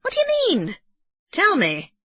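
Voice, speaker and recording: A woman's voice: extremely high pitch, wildly swooping pitch, measured pace, no echo, voice pushed up, heavily acted. A woman voicing an irritable middle-aged man who is ill, questioning sharply. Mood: agitated. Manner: sharp, interrogating.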